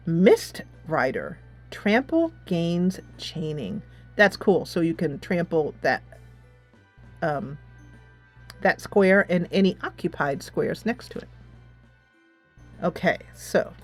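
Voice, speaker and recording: A woman gaming host giving casual commentary, thinking aloud as she plays a tactics game. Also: lowish voice.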